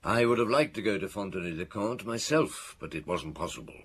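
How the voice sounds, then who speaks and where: A seasoned police chief inspector in an audio drama. His low, husky voice moves expressively as he deliberately lays out a case with wry reflection. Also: expressive. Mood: reflective. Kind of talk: radio drama dialogue, recounting a case.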